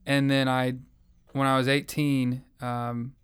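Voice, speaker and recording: An earnest young man recounts his personal testimony in a reflective, conversational way. His voice is smooth and clear. He keeps his pitch fairly flat and even as he speaks. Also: takes it slowly. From American South. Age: young adult. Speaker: interviewee sharing his faith story.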